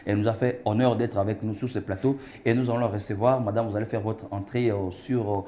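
Formal TV host, low mellow male voice. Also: fairly even intonation, fast talker. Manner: formal, ceremonious, with frequent hesitation fillers. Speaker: TV talk show host. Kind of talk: formal studio interview. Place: TV studio set.

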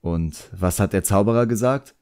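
A man's voice: fairly low pitch, moderate pace, a little mellow, clear, resonant tone, no room sound, close to an everyday voice. An impatient boy sorcerer's apprentice, played by a male reader in a fantasy audiobook. He gives curt, demanding orders and questions in a dry recording.

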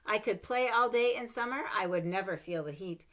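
A middle-aged woman reading a children's picture book aloud at home, expressive and animated, with playful asides between pages, recorded on a webcam. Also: mid-range voice, moderate pace, slight background noise. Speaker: storybook reader. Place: home room with bookshelves. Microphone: webcam at desk.

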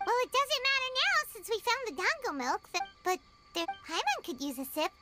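Squeaky little fairy sidekick, childlike, excitable, anime game, very high pitch, loud music behind. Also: female voice, moderate pace. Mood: excited. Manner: exclamatory, refers to herself in third person. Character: tiny excitable floating fairy companion, childlike girl. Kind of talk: English anime-style video game voice acting.

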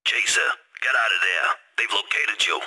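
An actor playing an urgent handler barking warnings in a video game. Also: male voice, fairly low pitch, normal speed, sharp tone, husky, full character voice, rough recording. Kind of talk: dramatic video game voice acting.

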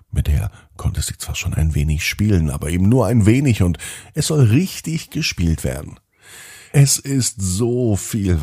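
A man's voice: low voice, warm tone, breathy edge, no room sound, studio quality. A man hosting a children's podcast and narrating a scripted bedtime story, speaking expressively and directly to young children.

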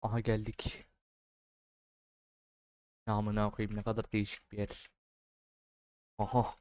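A young man doing casual, slangy game commentary, swearing freely and repeating words in excited bursts. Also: fairly low pitch, measured pace, no echo. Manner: foul-mouthed, slangy. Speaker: gaming streamer.

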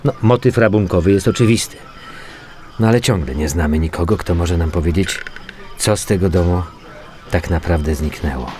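An actor playing a courteous police inspector who muses aloud in halting, conversational speech in a radio drama. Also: male voice, low voice, dark tone, lightly acted. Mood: musing.

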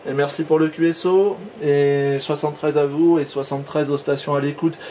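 A man's voice: on the high side, steady tone, moderate pace, warm tone, slight background noise. A man chatting casually as an amateur radio operator, hesitantly, often repeating and trailing off in his phrases. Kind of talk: casual radio contact chat.

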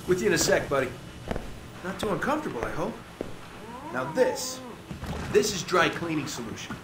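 Crazy chatty rogue agent for a game, highish voice swinging all over, jokey threats. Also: male voice, very animated, moderate pace, reverberant, noisy background. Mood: cheerfully menacing. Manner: rambling, flippant, jokey menace. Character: manic, chatty, unhinged male rogue CIA operative. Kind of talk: video game cutscene acting.

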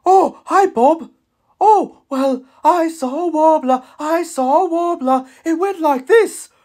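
A man's voice: very high-pitched, slow pace, darkish tone, a little hiss or hum. A man voicing a dreamy, eager cartoon skip lorry that rambles and repeats itself.

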